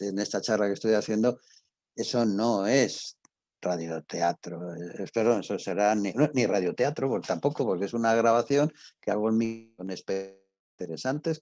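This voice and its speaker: An older man with an accent from Spain, giving an informal talk and answering questions, halting and emphatic, often repeating himself, with a husky voice. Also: lowish voice, a little mellow, no echo. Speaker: radio drama professor and guest speaker.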